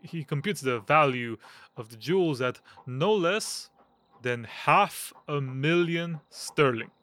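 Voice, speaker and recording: A man playing a frail, fussy, self-important gentleman hypochondriac in an audio drama, speaking formally with halting hesitations. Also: on the high side, expressive. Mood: excited, proud.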